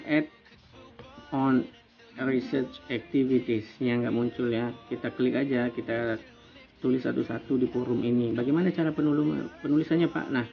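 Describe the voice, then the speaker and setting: A man's voice: mid-range voice, creaky. An Indonesian man, a university tutor, explaining on-screen steps informally one by one in a dull lo-fi phone recording. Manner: explanatory, often checks along with "ya" and "nah". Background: music.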